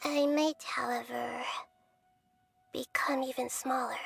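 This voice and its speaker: A small girl goddess for a game: high, soft and calm, reassuring and selfless. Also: slow pace, vocal fry, loud music behind the voice, heavily acted. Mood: resolute. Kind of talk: game cutscene voice acting.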